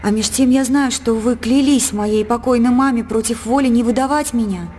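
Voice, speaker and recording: An actress playing a young, devout and dreamy princess in a radio drama, speaking in a heartfelt, formal theatrical way. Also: female voice, a little high, moderate pace, darkish tone, faint music behind, lightly acted. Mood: dignified, wary. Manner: heartfelt, declamatory, formal verse-like phrasing. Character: young princess daughter, devout, dreamy, earnest yet proud.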